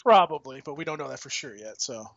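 A man's voice: mid-range voice, expressive, fast, creaky, fairly bright tone. A man co-hosting a podcast, joking around and swearing freely in casual chat. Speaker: podcast co-host, site founder. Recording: slightly dull podcast audio.

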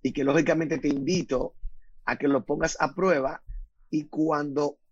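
Husky man, slow emphatic talk. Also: fairly high pitch, fairly even intonation, dull audio. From Dominican Republic. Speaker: guest speaker. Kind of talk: radio talk interview.

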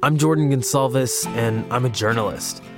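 Male journalist promo, mid pitch, earnest, guitar music behind. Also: moderate pace. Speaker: journalist in a podcast ad. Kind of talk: scripted podcast promo.

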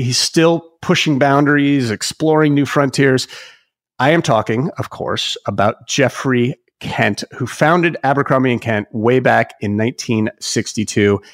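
A man's voice: medium pitch, lively intonation, moderate pace, no room sound. Eager male podcast host, dry sound. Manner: enthusiastic, admiring, some restarts. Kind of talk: podcast interview intro and questions.

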